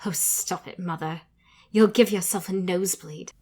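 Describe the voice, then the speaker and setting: A woman's voice: lowish voice, moderate pace, mellow, slightly rough. A woman playing a defiant, frustrated young upper-class daughter in an audio drama. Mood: exasperated. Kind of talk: fan audio drama acting.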